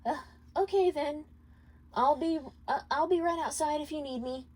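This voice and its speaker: Woman playing an anxious young-sounding, high-voiced hostess. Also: moderate pace, darkish tone, natural voice. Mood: nervous, hesitant. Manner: hesitant, stammering. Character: anxious, hesitant female hotel keeper, polite and flustered. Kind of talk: fanfiction reading.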